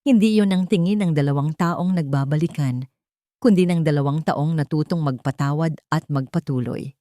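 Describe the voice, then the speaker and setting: A Filipino woman narrates a dramatic love story as a storyteller. Her voice sits fairly low for a woman and the sound is dry and close. The delivery is measured and sentimental. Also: dark tone, dry recording.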